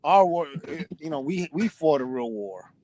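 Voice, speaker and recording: A middle-aged man with a somewhat high voice tells a long personal story, doubling back and restarting his sentences. Casual podcast talk with a faint hiss in the background. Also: big pitch swings, moderate pace, warm tone. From United States. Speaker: podcast host. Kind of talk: casual conversation.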